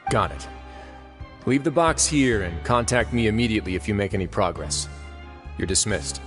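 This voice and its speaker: An actor playing a composed, wry and courteous duke in a video game, speaking in a measured, unhurried manner. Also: male voice, fairly low pitch, lively intonation, over music, lightly acted. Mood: businesslike, calm.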